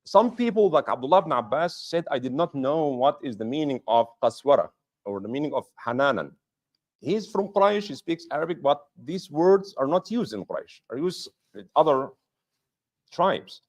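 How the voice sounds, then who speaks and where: Man, imam, mosque lecture, rhetorical questions, lively intonation, emphatic repetition. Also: on the high side, moderate pace. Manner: explains patiently with rhetorical questions; emphatic, repeats key points.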